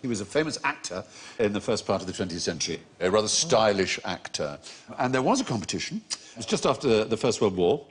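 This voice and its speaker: Lively man hosting a panel show. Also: lowish voice, a little fast, slightly rough. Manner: storytelling, amused, theatrical. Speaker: quiz show host. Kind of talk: comedy panel show banter. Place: TV studio with audience.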